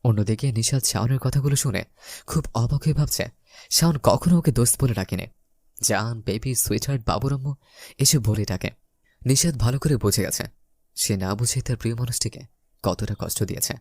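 A man's voice: medium pitch, brisk, a little mellow, dry recording, clean studio sound. A man narrating a scripted first-person romantic story, voicing the dialogue as he goes.

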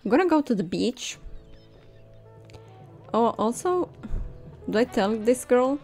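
Unhurried, animated young woman gaming. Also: a little high. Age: young adult. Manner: expressive, musing to herself. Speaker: video game streamer. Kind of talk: casual gameplay commentary. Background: soft game music.

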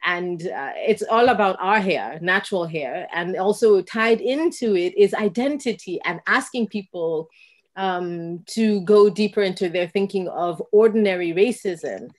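A middle-aged woman from Kenya speaks on a video call from a room at home, picked up by a laptop mic. She talks in a reflective, lively way and acts out the conversations she recalls. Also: medium pitch, measured pace. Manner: reflective, animated storyteller who acts out quoted exchanges. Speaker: dancer and guest.

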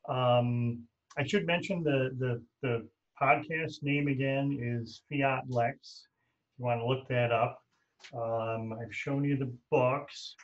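A man's voice: medium pitch, steady tone, takes it slowly, dull audio. A middle-aged man hosting a casual video-call chat from a room at home, loose and rambling as he corrects himself mid-sentence. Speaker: host.

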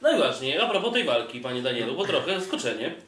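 A man's voice: medium pitch, sing-song, fast, fairly bright tone. Distant, echoey Polish man chatting. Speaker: podcast co-host. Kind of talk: casual sports chat, interjecting. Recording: distant mic, roomy echo, background noise.

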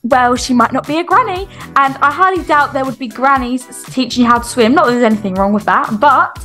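A woman's voice: high-pitched, a little fast, bright, forceful tone, faint music behind. A young woman with a British accent, chirpy and exclaiming, doing a game roleplay vlog as the mum. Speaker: video creator playing a mum in a game roleplay.

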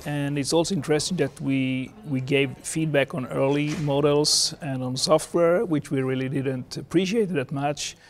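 A man in his fifties with a Swedish accent explains his research in an interview, in measured, halting non-native English. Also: on the high side, fairly even intonation, a little hiss or hum. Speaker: air traffic researcher and former controller. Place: indoor seated interview in a lounge or office. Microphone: lapel mic.

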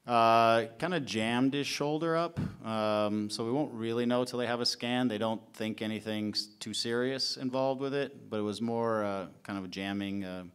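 A man in his forties, a head coach, answering questions at a press conference table mic, in a measured way with many fillers and restarts. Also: mid-range voice, smooth. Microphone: table mic in front of him.

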